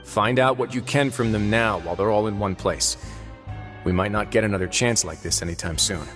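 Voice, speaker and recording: A lowish, composed male voice from an actor playing a shrewd warden who weighs every word and keeps a dry humor, with faint game music underneath. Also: natural voice. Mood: scheming. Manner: measured, composed, dryly amused. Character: calm, shrewd male prison warden nobleman. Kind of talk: video game voice acting.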